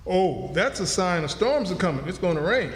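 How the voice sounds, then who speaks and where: Guy doing superstitious runaway in a radio play, folksy talk, pretty high voice, really echoey. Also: sing-song, moderate pace. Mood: superstitious, earnest. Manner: folksy dialect, pleading and repeating himself. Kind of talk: stage radio drama acting. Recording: echoey hall, live stage performance.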